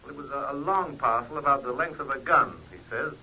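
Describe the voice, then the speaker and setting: A man playing an eager, deferential detective sergeant, sharp and rough-edged, giving halting reports in an old-time radio crime drama. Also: mid-range voice. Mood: dutiful. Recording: dull lo-fi old radio recording.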